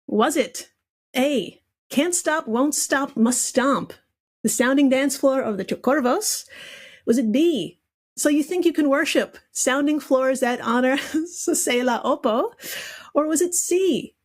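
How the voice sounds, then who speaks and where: A woman's voice: high-pitched, expressive, measured pace. A woman with a giggly way of talking, presenting a trivia game as a podcast guest in a playful, casual style. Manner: giggly, breaks into laughter while talking.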